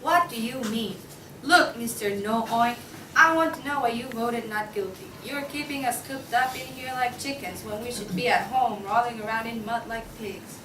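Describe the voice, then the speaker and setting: Exasperated, pushy pig juror, played by a woman, higher voice, echoey room with clear background noise. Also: moderate pace, sharp tone. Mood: argumentative. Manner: insistent, exasperated. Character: impatient, argumentative pig juror certain of guilt. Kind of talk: student table read of a comic play. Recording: echoey noisy room, distant mic.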